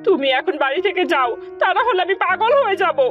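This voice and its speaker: A woman voices an exasperated village wife in a cartoon, very high in pitch. She scolds and complains with a sharp tongue at her husband. The sound is a little dull, with faint music behind. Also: animated, fast talker. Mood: exasperated wailing. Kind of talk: cartoon voice acting.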